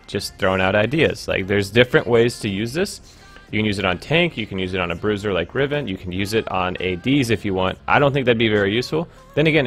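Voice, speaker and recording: A man's voice: fairly low pitch, fairly bright tone. A young man with a sore throat and a stuffy nose, chatting casually about game changes with rambling, upbeat energy. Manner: rambling and upbeat, many fillers. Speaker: gaming YouTuber. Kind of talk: casual game commentary over screen capture.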